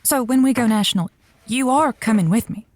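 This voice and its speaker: A woman's voice: on the high side, fairly quick, a little mellow, slight background noise, lightly acted. A woman playing a radio advice host in an audio comedy, wry and easily flustered, hesitating between dry quips. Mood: cheerful, wry. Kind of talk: audio comedy drama dialogue.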